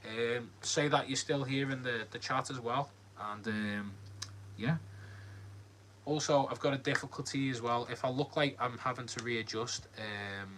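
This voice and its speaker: A man's voice: medium pitch, a little slow, a bit bright, slight background noise. A man with a Liverpool accent hosting a casual live Q&A, rambling and friendly as he reads out the chat. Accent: Liverpool (Scouse). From Liverpool, England. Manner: rambling, many ums and likes, friendly. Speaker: livestream host. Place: home, lit by a ring light.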